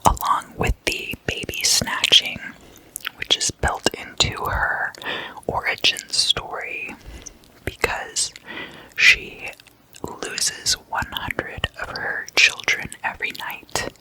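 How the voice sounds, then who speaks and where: An ASMR host speaks in a breathy, unvoiced whisper with a crisp, bright edge. The delivery is very slow and hushed, yet informal and playfully chatty. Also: low-quality recording. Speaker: ASMR host explaining demon folklore.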